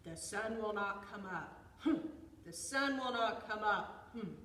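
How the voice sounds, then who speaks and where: A woman voicing a boastful, stubborn big bear in a storytelling tale, with loud, emphatic, drawn-out declarations. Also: mid-range voice, animated. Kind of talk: oral storytelling character voice. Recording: echoey hall, distant mic, faint noise.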